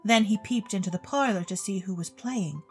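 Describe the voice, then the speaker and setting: A woman's voice: mid-range voice, normal speed, slightly rough. Woman narrating a storybook over music. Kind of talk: theatrical scripted storybook narration for an audio drama. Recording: music bed under the voice.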